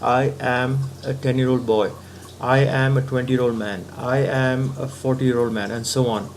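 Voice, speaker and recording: A man's voice: medium pitch, little pitch movement, unhurried, slight background noise. A man giving a patient philosophical talk, reasoning step by step and often checking in with the listener. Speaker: spiritual teacher.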